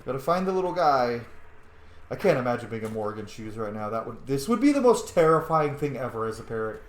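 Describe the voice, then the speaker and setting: A man's voice: mid-range voice, big pitch swings, fast, echoey room. A man giving casual, sweary running commentary as he streams a roleplay video game. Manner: informal, sweary.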